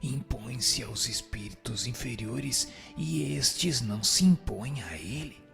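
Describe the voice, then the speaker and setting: Gravelly, solemn Brazilian spirit, played by a man. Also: mid-range voice, lively intonation, unhurried. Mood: solemn, instructive. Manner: solemn, didactic, formal. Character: solemn, instructive male spirit answering questions. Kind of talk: scripted radio drama dialogue, formal doctrinal answers. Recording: music under the voice. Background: background music.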